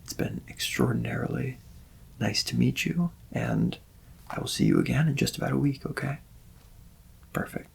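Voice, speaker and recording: A man speaking softly in a gentle, unhurried, reassuring ASMR roleplay as an artist, his voice sometimes thinning toward a whisper. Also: medium pitch, huge pitch swings. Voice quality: soft, breathy-edged voice dropping into whispers and creaky fry. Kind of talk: soft-spoken ASMR personal-attention roleplay.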